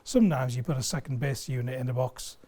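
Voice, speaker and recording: A man's voice: medium pitch, fast talker, dark tone. A man giving an enthusiastic product explanation in conversation, emphatic and fond of everyday analogies. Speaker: loudspeaker company sales director, guest. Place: video studio.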